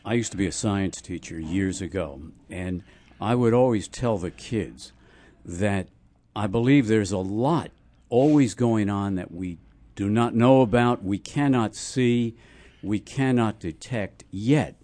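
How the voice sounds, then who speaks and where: Mellow, low-voiced older man on radio. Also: unhurried. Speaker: radio show host. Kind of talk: casual radio discussion.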